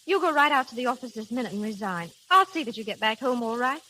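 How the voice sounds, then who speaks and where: A woman acting a bossy, sharp-tongued young American lady in a 1930s radio drama. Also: a little high, lively intonation. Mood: exasperated. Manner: brisk, exasperated, sharp-tongued. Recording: hissy, crackling 1930s radio recording.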